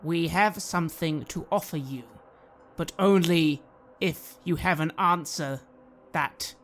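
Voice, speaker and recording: A man playing a stern, proud inquisitor in a tabletop fantasy game, negotiating in a firm, deliberate way. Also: on the high side, fairly bright tone. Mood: firm, bargaining.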